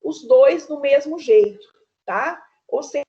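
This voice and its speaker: A Brazilian woman with a fairly high voice teaches an art class online, talking through paintings in a chatty, easygoing way. She keeps checking in with her students as she goes. The audio is dull and lo-fi, like a video call. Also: lively intonation, slow pace, warm tone. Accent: Brazilian Portuguese.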